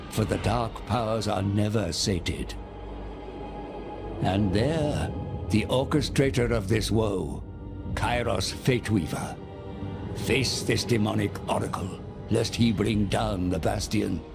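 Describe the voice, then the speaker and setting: Slow, portentous old sage of fantasy. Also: male voice, fairly low pitch, vocal fry, over music. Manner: grave, portentous. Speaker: narrator and adviser character. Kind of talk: theatrical game cinematic narration.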